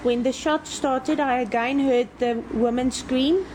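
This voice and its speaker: A woman interpreting testimony for a court, relaying each statement in a measured way. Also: sits high, steady tone, darkish tone, slight background noise, low-quality recording. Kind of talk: consecutive interpretation.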